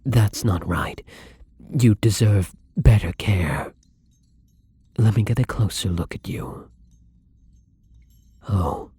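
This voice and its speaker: Man acting a husky, breathy young vampire. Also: lowish voice, slow pace, long pauses, no room sound, heavily acted. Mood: entranced, hungry. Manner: soft, hesitant, breathy, often dropping to whispers. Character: newly turned young male vampire, apologetic, timid, hungry. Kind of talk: intimate ASMR roleplay acting.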